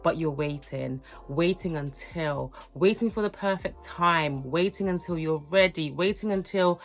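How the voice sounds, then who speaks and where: A young woman, hoarse from a cold, talking earnestly and encouragingly to her viewers in a motivational vlog. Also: on the low side, measured pace. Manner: earnest, encouraging, repetitive emphasis. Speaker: lifestyle vlogger. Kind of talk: motivational talk to camera.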